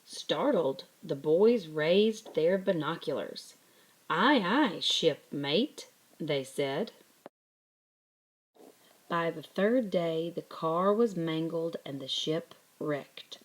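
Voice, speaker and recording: Female storyteller for children's picture book: low register, unhurried, deliberate, expressive scripted narration. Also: poor audio.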